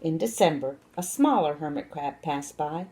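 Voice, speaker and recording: A woman storyteller reads to children in deliberate, expressive phrases. Her voice sits a little low for a woman and moves through wide, lively rises and falls. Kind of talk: children's story read-aloud.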